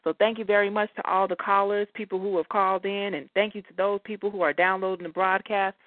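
A woman's voice: mid-range voice, flat delivery, fast talker, dry recording. A woman hosting a talk radio show in a conversational way, addressing her listeners directly. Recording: dry, thin phone-like line.

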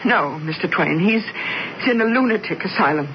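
Fluttery anxious rich wife, stammering, breathless, dull old radio sound. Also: female voice, fairly low pitch, expressive, a little fast. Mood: pleading. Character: anxious, gluttonous wealthy wife, adult woman. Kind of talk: 1970s radio drama acting. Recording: dull old radio broadcast, faint hiss.

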